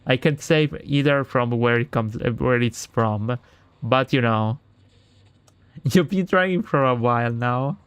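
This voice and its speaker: A man's voice: mid-range voice, takes it slowly, a bit bright, clean tone, no room sound. A man with an Italian accent, Italian his first language, streaming himself reading a visual novel aloud and chatting with viewers, with lots of fillers and restarts.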